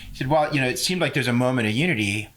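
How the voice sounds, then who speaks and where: A man's voice: mid-range voice, expressive, racing, very noisy. A man in a mid-range voice speaks as a guest on a conversational podcast. He sounds earnest and articulate, building his argument point by point. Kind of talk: conversational interview.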